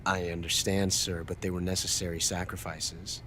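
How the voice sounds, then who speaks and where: A calm scheming double agent. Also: male voice, low voice, a little hiss or hum. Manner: calm, measured, conspiratorial. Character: scheming male double agent reporting by phone. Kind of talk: video game cutscene dialogue. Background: game music score.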